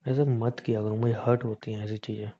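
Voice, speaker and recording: A man's voice: on the low side, flat delivery, brisk, warm tone, natural voice. A young man playing a hurt, angry boyfriend in an acted phone conversation, pleading and mixing English phrases into his speech. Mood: hurt, relieved. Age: young adult. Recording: dull, lo-fi phone call recording.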